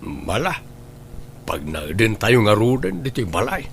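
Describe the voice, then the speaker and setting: A man's voice: lowish voice, big pitch swings, unhurried, vocal fry, slight background noise, full character voice. A man playing a cautious but accepting father of a grown son in a radio drama. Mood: worried, accepting.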